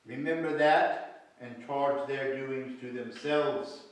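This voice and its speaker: A man playing a stern, solemn spirit in staged reader's theatre, declaiming archaic lines gravely. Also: mid-range voice, slow pace, natural voice. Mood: stern, indignant. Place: indoor hall stage with seated audience. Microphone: far camera. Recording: distant echoey hall recording.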